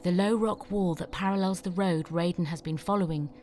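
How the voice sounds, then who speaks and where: A woman's voice: lowish voice, fairly even intonation, fairly quick, darkish tone, a little hiss or hum. A woman reading scripted screenplay stage directions as the narrator of an audio drama.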